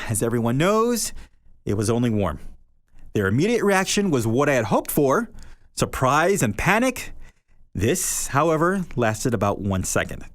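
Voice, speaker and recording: A man, a podcast host, reading a short story aloud in an earnest, measured way, his pitch rising and falling for effect. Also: dry recording. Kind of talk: reading literary fiction aloud.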